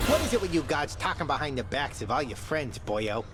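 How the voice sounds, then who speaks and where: A man's voice: medium pitch, big pitch swings, fairly quick, over music, natural voice. A man playing a brash, cheeky undead skeleton in a video game, talking in streetwise, sarcastic slang. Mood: wisecracking, defensive. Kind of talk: video game character dialogue.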